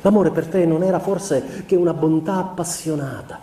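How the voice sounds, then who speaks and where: A man acting a tormented, gentle young husband confessing in a letter, with measured, solemn, introspective delivery in a literary radio drama monologue. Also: on the high side, close to an everyday voice. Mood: tender, regretful. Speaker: radio theatre actor. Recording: roomy, distant mic, noisy ambience.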